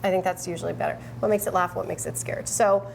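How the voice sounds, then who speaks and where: A woman's voice: lowish voice, fairly even intonation, fast, creaky, darkish tone, slight background noise. A woman improv teacher in an interview, explaining puppet games in an upbeat, explanatory way.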